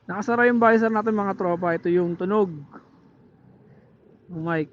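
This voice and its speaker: A man's voice: sits high, moderate pace. A man with a Filipino accent giving a casual tutorial vlog, chatting informally to his viewers. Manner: informal, addressing viewers with tag questions. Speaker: motovlogger. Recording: muffled helmet intercom mic, background noise.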